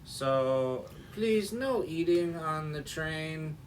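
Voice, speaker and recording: A man voicing a goofy male train conductor giving silly announcements in a slow, mock-official tone. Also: a little high, expressive, far from the mic, slight background noise.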